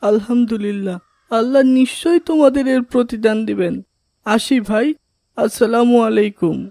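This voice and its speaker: A woman plays an eager young boy in a cartoon, high-voiced and curious. His delivery is earnest and questioning, recorded dry. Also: moderate pace, mellow, no echo, close to an everyday voice. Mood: grateful. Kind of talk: children's cartoon voice acting.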